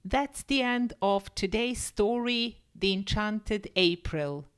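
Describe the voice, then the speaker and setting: Slow, measured woman teacher reading. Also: medium pitch, a bit bright, clean tone. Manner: measured, careful, explanatory. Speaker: English teacher and story reader. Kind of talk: scripted story narration and vocabulary lesson.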